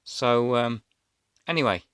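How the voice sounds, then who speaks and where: A man's voice: mid-range voice, slow pace, fairly bright tone, smooth, dry recording, studio quality. A man, telling a personal story casually to camera in a hesitant, rambling way. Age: 50s. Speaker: vlogger.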